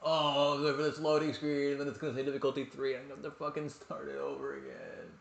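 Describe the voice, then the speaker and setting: A man's voice: on the high side, little pitch movement, brisk, vocal fry. Young male streamer, rambling and self-mocking, roomy echoey sound, freely swearing between fights. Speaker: game streamer. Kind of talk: casual live-stream commentary. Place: home room at night.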